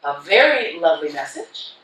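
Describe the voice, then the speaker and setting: A woman's voice: low voice, very animated, moderate pace, a bit bright, a little hiss or hum. A woman giving a keynote talk to a live audience, telling her story in a frank, profane and comic way. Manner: frank, profane and comic, acting out her own past lines. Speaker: keynote speaker. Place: conference hall. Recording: roomy hall, voice from far off.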